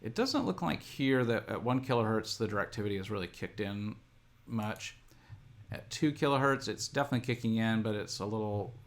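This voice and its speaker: A middle-aged man speaks in a fairly low voice with plenty of vocal fry. He is an instructor working through a demonstration at his computer, thinking aloud methodically and haltingly, one step at a time. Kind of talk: unscripted screen-share tutorial, thinking aloud. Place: indoor room at a desk, computer screen recording.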